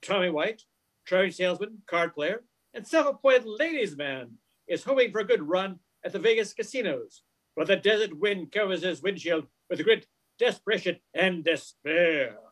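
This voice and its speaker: A man's voice: high voice, lively intonation, moderate pace, fairly bright tone. A man in his sixties reading scripted narration as the narrator of an old-time radio mystery. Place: living room at home. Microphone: video call.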